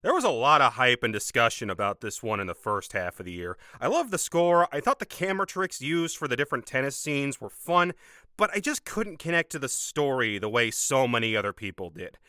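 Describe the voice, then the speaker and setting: Hoarse male critic, scripted review read, animated pitch, bright tone. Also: dry recording. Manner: measured, opinionated delivery. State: hoarse from a cold. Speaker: film critic and channel host. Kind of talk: scripted movie review roundup.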